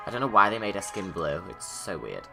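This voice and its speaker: A man's voice: low voice, very animated, fairly quick, gravelly, over music. A man giving informal, rambling creator's commentary on his own video, casual and off the cuff.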